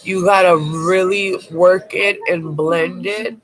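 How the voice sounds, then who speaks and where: A woman's voice: on the low side, steady tone, slow. A woman with a bad cold reviewing makeup live, chatty and blunt, dropping casual swear words as she goes. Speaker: makeup vlogger. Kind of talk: casual livestream product review.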